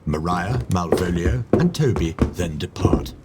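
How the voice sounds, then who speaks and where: A man's voice: deep voice, lively intonation, measured pace, heavy background noise. A man playing the narrator of an audio drama, reading scripted descriptions of the stage action. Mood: descriptive.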